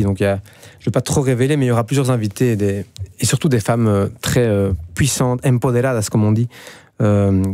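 A man in his thirties from Belgium speaks with a Belgian French accent in a radio interview. His voice is mellow and relaxed, and the sound is close and dry. He hesitates often, with fillers and restarts. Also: medium pitch, dry recording. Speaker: musician guest.

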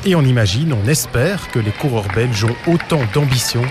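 A man's voice: mid-range voice, lively intonation, moderate pace, over loud music. Male reporter voicing a feature. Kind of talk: scripted news report.

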